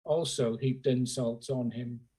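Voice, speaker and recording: Male scripture reader for worship, husky voice, slow and solemn, pausing between phrases, on a video call. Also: medium pitch, fairly even intonation, dark tone. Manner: slow, measured phrasing with pauses. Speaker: scripture reader at a church service. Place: remote, on a Zoom call. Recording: remote video call audio.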